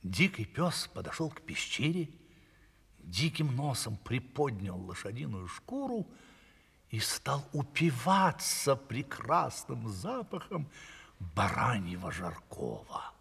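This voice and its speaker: Male storyteller for audio fairy tale: very rough husky tone, animated range, theatrical delivery, hall reverb. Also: medium pitch, slow pace. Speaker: narrator. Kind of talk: dramatized fairy tale narration.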